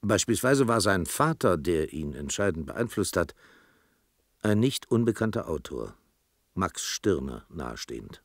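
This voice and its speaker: An actor playing a reflective storyteller, narrating a radio drama in a measured, thoughtful, literary way. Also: male voice, low-pitched, big pitch swings, no room sound, studio quality, full character voice. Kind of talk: scripted radio play narration.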